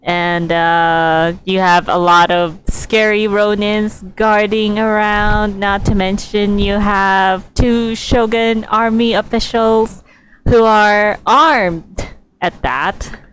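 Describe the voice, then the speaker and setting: A woman streaming a video game, giving casual, halting commentary as she reacts. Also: medium pitch, level tone, fairly bright tone, slight background noise, low-quality recording. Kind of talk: casual live gameplay commentary.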